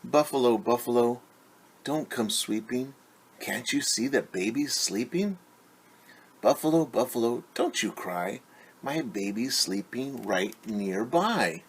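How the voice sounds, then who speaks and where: A man voicing an anxious mother from a storybook, pleading in a sing-song, drawn-out way with hushed shushing for quiet around her baby. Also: medium pitch, lively intonation, husky, heavily acted. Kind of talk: storybook read-aloud, rhythmic refrain.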